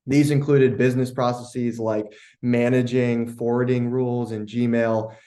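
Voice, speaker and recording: A man's voice: mid-range voice, mellow. A man giving a product case-study presentation in an explanatory, conversational way.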